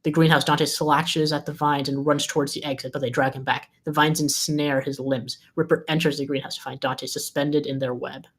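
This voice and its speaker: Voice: medium pitch, little pitch movement, moderate pace, hardly any pauses. A narrator reading scripted stage directions at a live table read.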